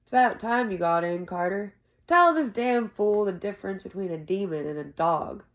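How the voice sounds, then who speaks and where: A woman's voice: medium pitch, expressive, normal speed, close to an everyday voice. A woman voicing an argumentative, grumbling village man in a fantasy tavern, blunt and insistent, with rustic phrasing. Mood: gruff, urgent.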